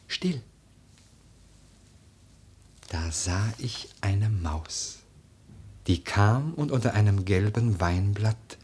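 Very husky, brooding dreamer. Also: male voice, deep voice, a little mellow, full character voice. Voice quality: husky, breathy. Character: melancholy, brooding dreamer lost in lovelorn memories. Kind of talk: poetic verse monologue, radio drama.

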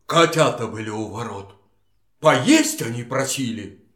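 Blustering old tomcat gatekeeper: lively, forceful, drawn-out lines for a storybook audio play. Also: male voice, mid-range voice. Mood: servile. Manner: scolding, blustering. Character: grumpy, gruff old tomcat doorkeeper.

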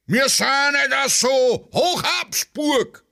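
A man playing members of a rowdy, gullible street mob in a radio play, shouting and jeering with a Viennese accent. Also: very high voice, drawn-out words, vocal fry, voice pushed up, heavily acted.

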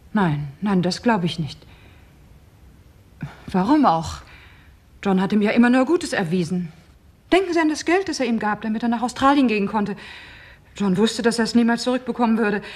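Husky, earnest woman under questioning acted. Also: mid-range voice, natural voice. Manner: hesitant, emphatic, emotional. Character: earnest, sorrowful divorced woman being questioned. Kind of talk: 1960s German radio crime drama acting.

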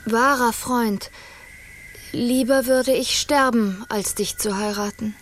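An actress playing a poised, frank young princess with a formal, deliberate manner in a radio play. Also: female voice, a little high, fairly even intonation, a little hiss or hum.

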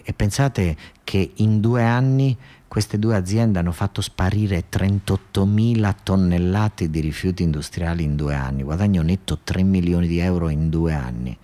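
A man's voice: sits low, mellow. A man with a southern Italian accent performing a colloquial, satirical storytelling monologue, earthy and sardonic, with emphatic repetition and asides to his listeners.

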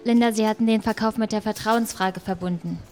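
An actress playing a composed, probing reporter who narrates a documentary-style radio play. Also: female voice, mid-range voice, steady tone, brisk, darkish tone, faint music behind, natural voice.

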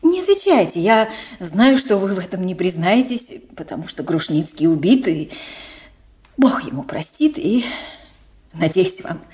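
An actress playing an anxious, dignified middle-aged princess and mother in a radio play, with halting, breathy delivery and emphatic pauses. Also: on the low side, sing-song, a little mellow. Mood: solemn, agitated.

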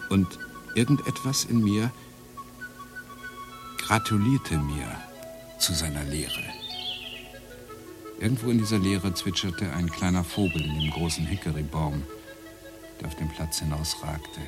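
An actor playing a wry, introspective first-person narrator in a literary German radio play, speaking deliberately. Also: male voice, low voice, over music. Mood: dreamy. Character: brooding male first-person narrator, wry and self-doubting.

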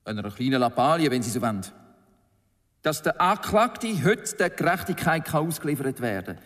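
An actor with a Swiss German accent playing a pompous prosecutor in a radio play, declaiming in oratorical style. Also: male voice, a little high, very animated, moderate pace, a bit bright. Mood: grandiloquent. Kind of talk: radio play courtroom speech. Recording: long echoing hall reverb.

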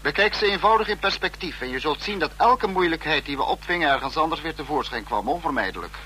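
A stern, brooding dictator, slightly noisy. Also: male voice, a little high, expressive, bright, forceful tone. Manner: deliberate, lecturing, stern. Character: uneasy, calculating male dictator of a totalitarian state. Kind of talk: mid-century Dutch radio drama acting. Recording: noisy old radio drama recording.

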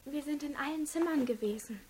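An actress playing an earnest teenage daughter, eager to explain, in a radio crime drama. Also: female voice, high-pitched, a little fast, a little mellow, natural voice.

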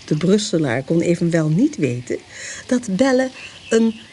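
An actress as the narrator of a historical adventure radio play, telling the tale in a measured, wry way. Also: female voice, fairly low pitch, very animated, dark tone, a little hiss or hum. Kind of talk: scripted storytelling narration in Dutch radio play.

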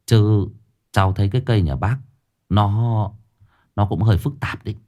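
A man voicing a calm, coaxing village lad. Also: on the low side, moderate pace, lightly acted. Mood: soothing. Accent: Northern Vietnamese. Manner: soothing, coaxing.

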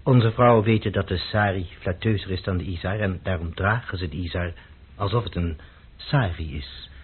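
An actor playing a dignified, serious lawyer of about thirty in a radio play, speaking in a measured, courteous, explaining way. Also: male voice, low voice, slightly rough. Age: 30s. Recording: dull, muffled old radio recording.

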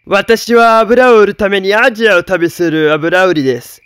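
A sly bandit chief in disguise, as a man plays him in a storybook tale, falsely friendly and smooth-talking. His voice is raised, crisp and resonant, and sounds dry and close. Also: high voice, normal speed, fairly bright tone, dry recording. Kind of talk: storybook voice acting.

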